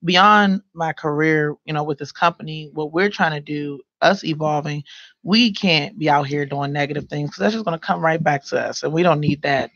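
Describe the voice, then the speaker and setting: Young woman guest, mellow and gravelly, rambling studio advice, dry lo-fi desk mic. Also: low-pitched, moderate pace, dry recording. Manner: loose, rambling delivery with many fillers. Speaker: guest, radio and podcast producer. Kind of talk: casual advice in a studio interview. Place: small radio studio. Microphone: desk microphone.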